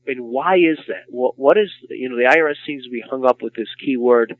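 A man's voice: mid-range voice, fairly quick, no echo. A man hosting a radio finance discussion, asking questions and often repeating words as he starts. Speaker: radio host. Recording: dull lo-fi radio sound.

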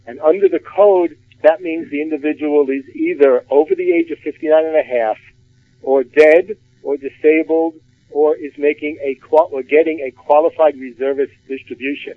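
Guy explaining retirement plan rules, calm and measured, sounds like a phone line. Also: a little high, no room sound. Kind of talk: radio finance discussion. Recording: phone-like narrow band.

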